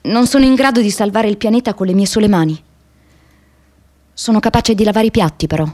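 An actress playing a restless, wry young American woman activist in an expressive, introspective radio drama monologue. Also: medium pitch, a little fast, lightly acted. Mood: earnest, reflective. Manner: expressive, introspective, shifts between playful and wistful.

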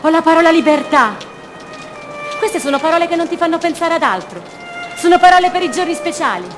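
An actress playing a fragile, dreamy young woman in an introspective radio theatre monologue, in short deliberate phrases. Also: very high-pitched, animated, over music. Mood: pensive.

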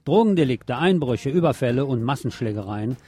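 Mellow male radio news presenter. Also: medium pitch, lively intonation, brisk. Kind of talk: scripted local news narration.

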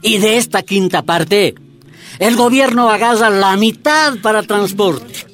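A man's voice: high-pitched, a little slow, fairly bright tone, a little hiss or hum. An actor playing a fervent miners' union leader in a radio drama, explaining things to his comrades in an emphatic, rhetorical way. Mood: indignant.

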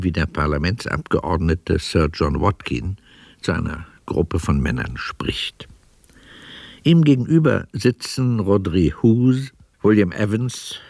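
A male narrator reads scripted radio drama narration in a measured, literary manner. His voice is fairly low and gravelly, recorded dry and close. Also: darkish tone, dry recording. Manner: literary, descriptive, measured delivery.

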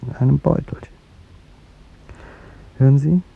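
A man's voice: measured pace, exaggerated voice. An actor playing an aging, courtly president in a 1950s radio drama, speaking formally and hesitantly as he gives up a beloved young woman. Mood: bitter, insistent. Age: older. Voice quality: deep, gravelly. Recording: muffled vintage radio recording, rumbling hiss.

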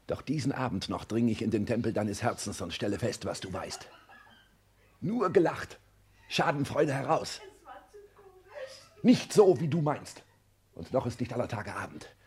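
An actor in a 1960s German radio drama playing a pompous, anxious social climber, agitated and scheming, in theatrical declamation. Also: male voice, medium pitch, big pitch swings, normal speed, pauses often, a little hiss or hum, natural voice. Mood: vengeful.

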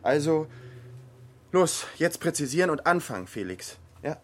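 A man's voice: on the high side, very animated, normal speed. An actor playing a driven male athlete who methodically talks himself through a training diary in a radio drama. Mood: focused, determined. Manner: methodical, self-instructing.

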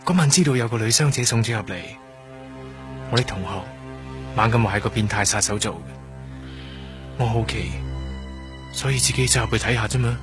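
A man's voice: mid-range voice, brisk, long pauses, over music, natural voice. An actor with a Hong Kong Cantonese accent playing a young trainee doctor in a radio drama, guarded, evasive and defensive in his answers. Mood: defensive, explaining.

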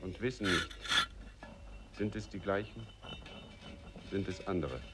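A man playing a solemn chorus narrator in a radio drama, reciting poetry in deliberate, clipped fragments. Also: low voice. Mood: solemn, observing.

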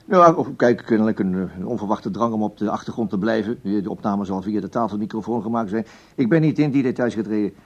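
An actor playing a glib, placating broadcast official making smooth, hesitant excuses on the phone in a radio play. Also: male voice, on the low side, fast talker, highs cut off. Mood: evasive flattery.

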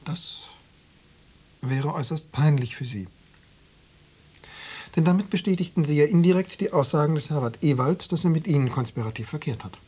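An actor playing an insinuating Stasi officer in a radio drama, probing and coaxing with cool formality and veiled menace. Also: male voice, a little high, normal speed, pauses often, dark tone, husky. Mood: veiled threat. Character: insinuating male Stasi officer pressuring an informant. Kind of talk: German radio drama dialogue.

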